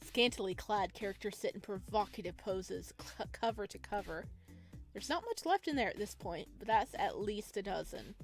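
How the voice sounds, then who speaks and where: Woman, mid-pitched, visual novel narration, let's play reading, faint music behind. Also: lively intonation, normal speed.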